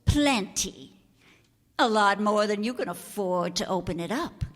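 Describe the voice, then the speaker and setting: A woman's voice: medium pitch, animated, measured pace, long reverb tail, close to an everyday voice. A woman acting a brassy, defiant con artist in an old-time radio detective drama, slangy and biting. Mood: smug. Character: brassy, scornful female con artist and palm reader.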